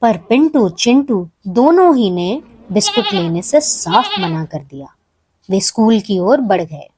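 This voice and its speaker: A woman narrating a scripted children's story in an expressive, measured way. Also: mid-range voice, crowd noise.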